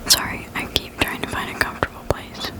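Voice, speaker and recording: A person whispering gently and soothingly into a close microphone in an ASMR reading style, with an unvoiced, breathy quality. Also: brisk. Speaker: host of an ASMR reading channel. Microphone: close desktop condenser microphone.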